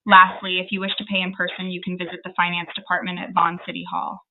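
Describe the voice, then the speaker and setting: A woman's voice: on the low side, monotone, fast. A woman's synthetic assistant voice on a phone line, giving polite, formal, scripted customer service answers without any hesitation. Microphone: phone call. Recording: tinny phone line with slight noise.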